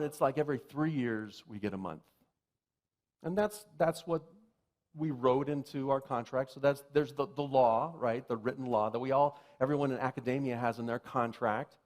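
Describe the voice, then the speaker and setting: A man's voice: medium pitch, a little mellow. Chatty self-mocking male pastor. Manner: chatty, self-deprecating, many restarts and asides; reads scripture slowly and solemnly. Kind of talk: sermon.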